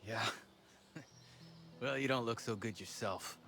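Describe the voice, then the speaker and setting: A man playing a battered, loyal, warm-hearted soldier in a game cutscene. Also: mid-range voice, unhurried, creaky, soft background music. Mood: relieved, weary. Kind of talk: dramatic video game cutscene acting.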